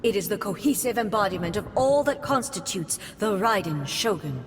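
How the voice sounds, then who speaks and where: Brief: woman voicing a stern regal warrior goddess, mid-pitched, formal and measured, solemn delivery, faint hiss. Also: lively intonation, natural voice. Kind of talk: video game cutscene dialogue.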